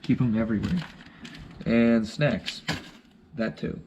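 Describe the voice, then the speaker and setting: Halting young man, noisy DIY recording. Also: medium pitch, highs cut off. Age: 20s. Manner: halting, searching for words. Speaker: DIY vlogger. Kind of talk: casual how-to narration while working. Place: indoors: vehicle cab in a shed, later a basement room. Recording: clearly noisy camera audio with clicks.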